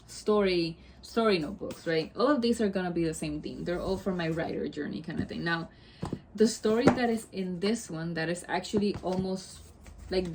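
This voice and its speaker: Chatty low-voiced woman, Spanish native. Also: darkish tone, slight background noise, poor audio. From Puerto Rico. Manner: chatty, rambling, many asides and self-corrections. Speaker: vlogger. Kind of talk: casual chat to camera.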